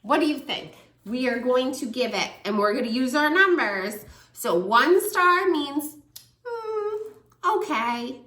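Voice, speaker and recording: A woman reads a picture book aloud for toddlers, pausing often to ask them questions. Her fairly high voice moves in a lively, sing-song way at an unhurried pace. The room around her adds a noticeable echo. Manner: warm, animated, asks children questions. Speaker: children's story reader and teacher. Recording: echoey room.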